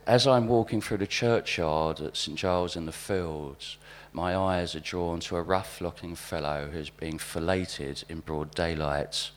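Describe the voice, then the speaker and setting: A man with a wry, deadpan manner giving a live reading of observational anecdotes at a spoken-word event. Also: sits low, unhurried, few pauses. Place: live literary event venue. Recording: live event recording with background hum.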